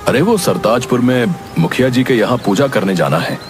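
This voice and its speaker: A man playing a kindly, concerned village priest with a measured manner in an animated moral story. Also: medium pitch, music in the background, close to an everyday voice. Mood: reflective.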